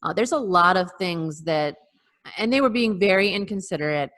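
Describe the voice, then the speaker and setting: A woman's voice: lowish voice, lively intonation, moderate pace, a bit bright. A woman chatting agreeably as a panelist in a casual group discussion. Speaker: podcast panelist. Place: remote video call.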